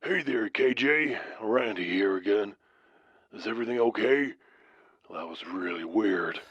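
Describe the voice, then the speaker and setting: Man playing a husky, muffled radio caller. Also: fairly low pitch, unhurried, dry recording, voice pushed down. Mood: concerned. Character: concerned regular male caller to late-night radio.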